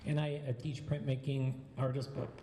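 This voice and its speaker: A man's voice: medium pitch, little pitch movement, normal speed. A man giving a reflective, somewhat hesitant live critique of art pieces. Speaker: art show juror. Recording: echoey hall with long reverb, faint hum.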